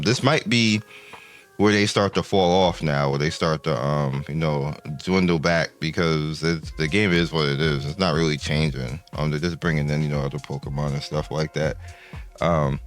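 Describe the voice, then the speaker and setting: An older man with a deep voice hosting a gaming channel, chatting casually and rambling through numbers with many restarts. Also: fairly bright tone. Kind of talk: casual commentary to viewers.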